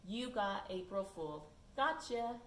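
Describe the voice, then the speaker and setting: A woman voicing an eager, put-upon schoolboy prankster in a storybook, expressive and exclaiming. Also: mid-range voice, measured pace, close to an everyday voice. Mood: sly, coaxing. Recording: echoey room, distant mic, background noise.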